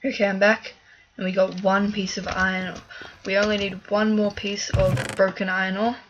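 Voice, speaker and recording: A woman giving casual let's-play commentary as she plays, chatty and thinking aloud with fillers, a little hoarse from a cough. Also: mid-range voice, level tone, normal speed, highs cut off, noisy background. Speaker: gaming video creator.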